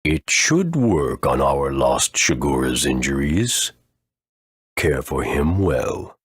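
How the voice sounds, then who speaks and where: A man playing an aged, solemn creature elder in a video game, speaking slowly and formally with a husky rumble. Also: very animated, dry recording, studio quality, full character voice. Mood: gruff, measured. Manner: slow, grave, formal.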